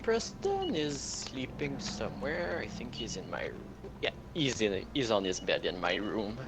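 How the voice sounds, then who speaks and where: A guest chatting casually on a game stream, easygoing and quick to laugh. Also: mid-range voice, sing-song, background noise. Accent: regional accent. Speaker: co-host on a stream. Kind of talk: casual chat. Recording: game music behind.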